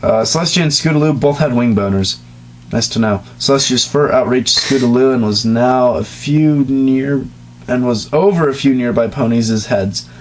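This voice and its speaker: A man's voice: medium pitch, normal speed, rough recording. A man reading a story aloud to a friend in a mock-serious tone, stopping often for teasing jokes. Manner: mock-serious narration broken by teasing asides. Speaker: reader of a fan story. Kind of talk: comic dramatic reading among friends.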